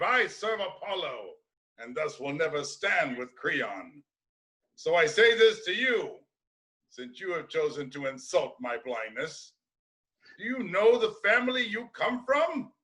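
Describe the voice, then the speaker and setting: A man plays an old blind prophet in a Greek tragedy. His voice is high-pitched and piercingly bright. He declaims with bitter defiance, every phrase delivered as an ominous pronouncement. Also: full character voice, low-quality recording. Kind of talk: dramatic classical Greek tragedy reading.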